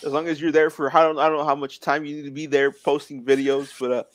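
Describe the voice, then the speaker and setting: A man's voice: on the high side, level tone, fast talker, no room sound. A man in his twenties, a guest in a casual interview, talking in an earnest, plainspoken way. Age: 20s.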